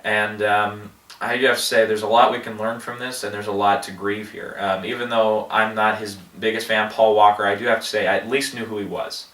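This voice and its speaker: A man with a head cold rambling through movie commentary, halting with many fillers and restarts. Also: lowish voice, level tone, a bit bright, distant mic. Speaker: movie box office vlogger. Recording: echoey room, voice off-mic.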